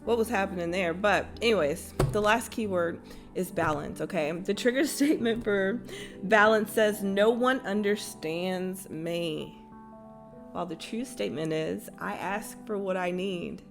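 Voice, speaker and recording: A woman's voice: mid-range voice, measured pace, vocal fry. Woman, young adult, light Texas accent, blunt, casual, cursing, guitar music behind. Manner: blunt, conversational, swears freely, with slang. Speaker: tarot reader and spiritual channel host. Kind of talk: casual spoken tarot reading.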